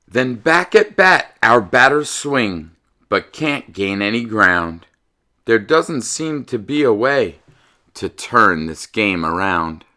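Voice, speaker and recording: A man giving an expressive, dramatic read-aloud in the manner of a children's storyteller. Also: on the low side, takes it slowly. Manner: animated, dramatic delivery.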